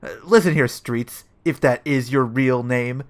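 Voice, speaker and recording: A man's voice: medium pitch, unhurried, natural voice. A man playing a cocky, dim-witted police detective who talks tough and self-importantly in a comedic audio play. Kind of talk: comedic audio drama acting.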